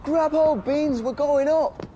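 A man voicing an excitable, playful little girl in a storybook, squealing and exclaiming. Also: very high-pitched, takes it slowly, slight background noise, pitched above the performer's own voice. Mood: alarmed, urgent.

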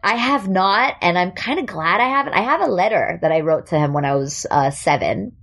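A woman with a light New York accent, raised in Germany, tells stories on a comedy podcast. Her voice sits a little low, and she laughs easily as she talks. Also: brisk. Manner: animated, laughs often. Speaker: podcast guest, comedian and actor. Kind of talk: casual storytelling chat.

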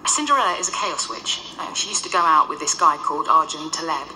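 A centuries-old ghost woman holds forth in a very bright, crisp voice, brisk and lecturing with dry wit. A faint hiss sits under this radio drama. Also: sits low, animated, heavily acted, rough recording. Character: centuries-old female ghost, wry know-it-all. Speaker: lead character.

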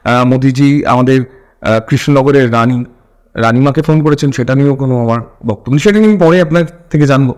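A man with a West Bengal Bengali accent interviewing conversationally, halting and rephrasing his points as he goes. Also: mid-range voice, a little mellow, smooth.

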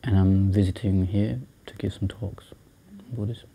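A man in his thirties, from Sri Lanka and raised in England, a Buddhist monk answering interview questions thoughtfully, with many pauses and restarts. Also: sits low, fairly even intonation, warm tone. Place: small indoor room by a shrine.

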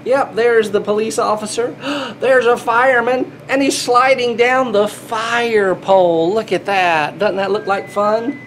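A man's voice: high-pitched, fairly even intonation, measured pace, few pauses, a bit bright, a little hiss or hum. A middle-aged man reading a children's picture book aloud, playful and exclaiming, talking as if to small children. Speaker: grandfather reading to children, air traffic controller. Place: small indoor airport control room.

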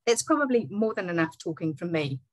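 Brief: middle-aged woman, New Zealand accent, low, dry voice, formal measured webinar host on a video call. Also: sing-song, no room sound. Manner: measured, formal, reading from a script. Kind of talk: scripted webinar introduction.

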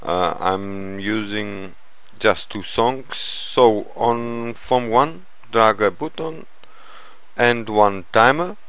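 A man narrating an informal software tutorial in a halting, step-by-step way. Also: fairly low pitch, clear, resonant tone, dry recording. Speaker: programming tutorial presenter.

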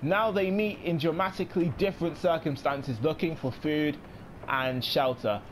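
A young man in his late teens giving casual let's play commentary, rambling with many pauses and quick apologies. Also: a little high, slight background noise. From United Kingdom. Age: young adult. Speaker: gaming YouTuber.

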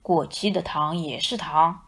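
一位女性在童话绘本里扮演阴险贪吃、语带威胁和低吼的野狼爸爸。. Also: female voice, low-pitched, measured pace, fairly bright tone, breathy edge, pitched below the performer's own voice, exaggerated voice. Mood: menacing. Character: menacing male father wolf, hungry and sly.

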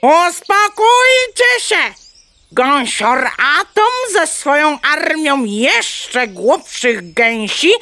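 High, bright, bossy mother hen. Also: exaggerated voice. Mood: alarmed, insistent. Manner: clucking between words, scolding, dramatic. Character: bossy, excitable mother hen, easily exasperated. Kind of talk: children's audio play acting.

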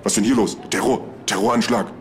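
Man playing an eager, rapid-fire soldier. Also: lowish voice, fairly even intonation, a little hiss or hum, pitched above the performer's own voice, natural voice. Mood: alarmed, obedient. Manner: hasty, servile, military. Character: eager, flustered, obedient male soldier.

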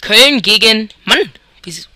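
A man's voice: high voice, animated, unhurried, bright, forceful tone, no room sound, studio quality. A young man from Germany giving casual, rambling commentary over a football video game as he thinks aloud and chuckles. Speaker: let's player.

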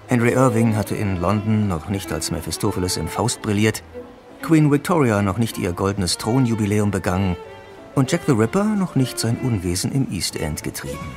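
An actor playing a Victorian gentleman who narrates his memories in a measured way for a German radio play. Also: lowish voice, lively intonation, music in the background, close to an everyday voice. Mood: measured, reminiscing.

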